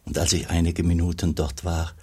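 A man playing a grave, tender old monk narrating a literary radio play in a measured, reflective way. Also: low-pitched, level tone, a little mellow, husky. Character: old monk recalling his lost brother, grave, tender.

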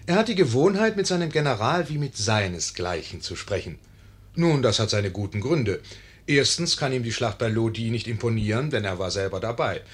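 An actor playing a wry, ironic narrator who addresses the audience deliberately in a 1950s German radio play. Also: male voice, medium pitch, expressive, slightly rough.

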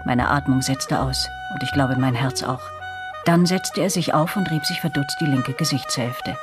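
An actress playing a first-person storyteller, narrating tense events in a German radio drama. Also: female voice, extremely low pitch, normal speed. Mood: recounting. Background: music.

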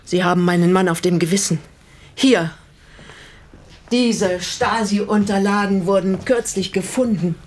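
Vengeful older widow, played by an actress, bitterly sarcastic. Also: female voice, mid-range voice, a little slow, lightly acted. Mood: stern, menacing. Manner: formal, biting, sarcastic. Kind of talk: German radio play acting.

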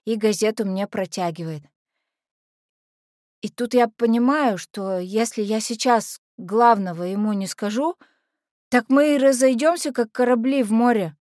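A woman's voice: fairly high pitch, measured pace, a little mellow, dry recording, clean studio sound, close to an everyday voice. A woman playing a brash, lovestruck teenage orphanage girl, telling her story in a dramatic monologue with slangy, naive, confiding speech. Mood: nervous, smitten. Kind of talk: dramatic first-person monologue.

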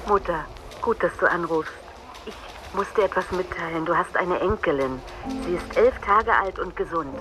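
A woman's voice: lowish voice, moderate pace, music in the background, natural voice, low-quality recording. An actress playing a grown daughter and new mother, speaking formally and matter-of-factly in a radio drama.

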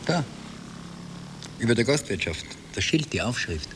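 An actor playing a weary impostor husband with a Viennese accent in a radio play from around 1960, terse and ironic. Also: male voice, mid-range voice, sing-song, unhurried. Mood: curt, resolute. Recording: noisy old radio drama cassette transfer.

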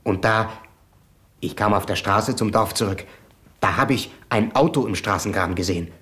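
A man's voice: fairly low pitch, normal speed, a bit bright, lightly acted. An actor playing a nervous man in his thirties, a village poacher, who stammers and rambles while questioned in a radio crime play. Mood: flustered. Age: 30s. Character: nervous 33-year-old village poacher, folksy, evasive. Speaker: suspect under questioning. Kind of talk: 1970s radio crime drama acting.

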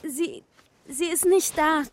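A woman's voice: sits high, slow pace, slight background noise. An actress playing a worried, stubborn young girlfriend in a radio drama, anxious and insistent. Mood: uneasy, hesitant. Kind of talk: modern German radio drama acting.

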